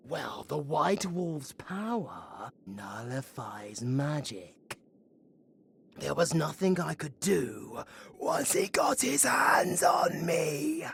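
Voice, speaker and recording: A man's voice: mid-range voice, creaky, slight background noise, full character voice. A British man playing a flirty, sardonic undead necromancer villain in a theatrical audio roleplay. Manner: theatrical, swearing, swinging between hushed murmurs and outbursts.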